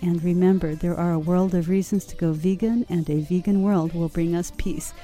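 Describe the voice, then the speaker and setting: A woman's voice: lowish voice, fairly even intonation, moderate pace, over music. A woman co-hosting a radio show, graciously thanking guests and signing off. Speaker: radio co-host.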